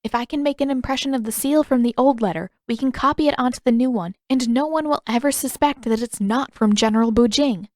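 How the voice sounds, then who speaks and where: A woman voicing a confident, teasing teenage girl in an audiobook-style story, with a brisk, playfully sarcastic manner. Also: on the high side, a little mellow, no echo, clean studio sound, pitched above the performer's own voice, natural voice. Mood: eager, confident.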